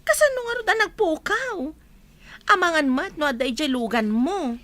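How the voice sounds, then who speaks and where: A woman's voice: high voice, expressive, moderate pace, a bit bright, no room sound. A woman with an Ilocano accent playing a doubtful, questioning friend in a radio drama.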